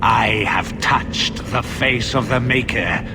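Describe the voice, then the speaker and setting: An actor playing a deranged, obsessive necromancer, grandiose and reverent, in a video game. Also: male voice, mid-range voice, takes it slowly, background noise, lightly acted. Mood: reverent, obsessed. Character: deranged male necromancer, obsessed with his lost beloved. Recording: loud background music from a game.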